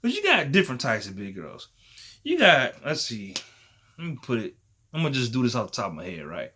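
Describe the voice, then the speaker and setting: A thirty-year-old man with a bright, forceful tone and an ordinary middle pitch. He rambles casually to the camera, swearing freely, using slang and leaning on "you know what I'm saying.". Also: wildly swooping pitch, brisk, slight background noise. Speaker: vlogger.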